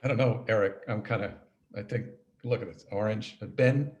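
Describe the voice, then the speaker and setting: A man hosting a webinar, giving introductions and casual asides in a halting way with frequent throat clearing. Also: on the low side, a little mellow, highs cut off. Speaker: webinar host and compliance consultant.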